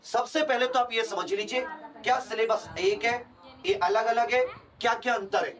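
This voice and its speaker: A man's voice: very high-pitched, fairly even intonation, moderate pace, far from the mic, crowd noise. A man giving an explanatory lecture as a coaching teacher, repeating key points for emphasis. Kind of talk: explanatory lecture to exam aspirants.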